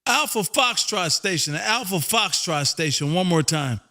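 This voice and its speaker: High-pitched, folksy American man on ham radio. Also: expressive, unhurried, a little hiss or hum. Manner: loud, folksy, chummy, says "man" constantly. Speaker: amateur radio operator and livestream host. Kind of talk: casual ham radio contact chat.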